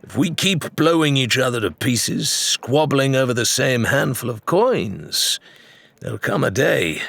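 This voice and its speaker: A man voicing a measured pirate captain who reasons like an orator, for an audiobook. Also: medium pitch, sing-song, gravelly, a bit bright, no echo, voice pushed up, heavily acted. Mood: reasoned, challenging. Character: measured, pipe-smoking male pirate captain. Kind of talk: audiobook character voice.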